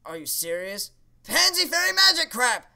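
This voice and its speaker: Man doing a bright, sharp, sarcastic professor puppet. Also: very high-pitched, very animated, moderate pace, creaky, heavily acted. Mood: exasperated sarcasm. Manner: sarcastic, blunt, exasperated. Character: jaded, scornful male creative writing professor puppet. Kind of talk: puppet show comedy acting.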